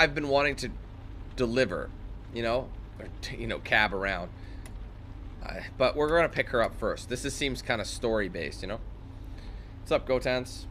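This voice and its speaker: A man doing casual let's-play commentary, thinking out loud, talking to his viewers and reading the game text aloud. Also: medium pitch, a little hiss or hum. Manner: chatty, thinks out loud, talks to viewers as squad. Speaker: gaming YouTuber. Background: game music.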